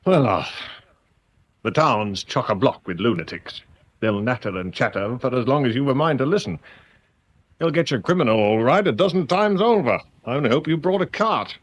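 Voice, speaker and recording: A man's voice: medium pitch, lively intonation, normal speed, natural voice. A man acting a touchy, sardonic official in a radio drama, pompous and bitingly sarcastic.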